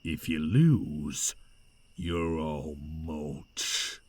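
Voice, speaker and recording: Slow, drawling, menacing scarecrow villain. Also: male voice, a bass voice, darkish tone, heavily acted. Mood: amused, taunting. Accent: American Southern or Western drawl. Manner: drawling, taunting, folksy and theatrical. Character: menacing male scarecrow, sly Wild West villain card dealer. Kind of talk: audio drama character acting.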